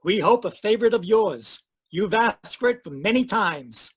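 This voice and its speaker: High-pitched old-time radio announcer man as acted. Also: lively intonation, moderate pace, breathy edge. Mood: formal, showy. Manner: formal, showy. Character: formal 1940s male radio network announcer.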